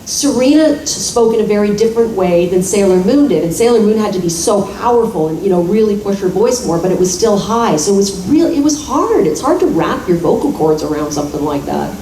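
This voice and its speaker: A middle-aged woman with a mellow, warm voice chats in a relaxed, self-deprecating way. She sounds far from the mic in an echoey hall, with faint noise underneath. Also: medium pitch, fairly quick, few pauses. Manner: chatty and self-deprecating, laughs often. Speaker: voice actor on a convention panel. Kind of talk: casual panel chat. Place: convention hall.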